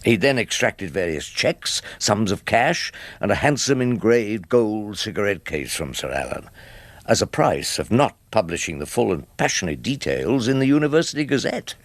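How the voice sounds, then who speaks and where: An actor playing a wry, world-weary old English defence barrister in a radio drama, speaking in a dry, wordy, deliberate way. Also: male voice, on the low side, big pitch swings. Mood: wry, reflective. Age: older. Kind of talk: British radio drama narration and dialogue.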